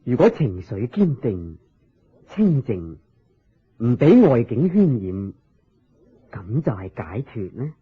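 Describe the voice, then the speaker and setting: An actor playing a serene, wise Buddha in a Cantonese radio drama, teaching in measured phrases with a husky voice and a Hong Kong accent. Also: male voice, medium pitch, very animated, full character voice. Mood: solemn, instructive. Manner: measured, sermon-like explaining in short phrases. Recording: dull lo-fi radio drama recording.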